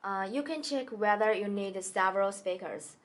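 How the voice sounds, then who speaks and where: A woman demonstrating a product in a small indoor studio, talking haltingly with many fillers and restarts. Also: medium pitch. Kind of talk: hesitant product tutorial.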